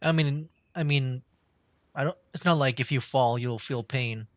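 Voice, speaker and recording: A man giving casual livestream commentary on a game in a halting, musing way. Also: mid-range voice, no room sound. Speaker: streamer playing a game.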